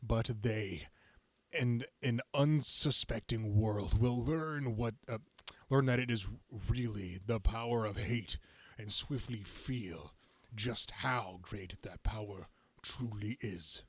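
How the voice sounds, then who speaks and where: Man as grandiose, slow-spoken supervillain. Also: on the low side, breathy edge, no room sound. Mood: gloating, menacing. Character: grandiose hate-preaching male supervillain addressing his followers. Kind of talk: dramatic comic-book reading.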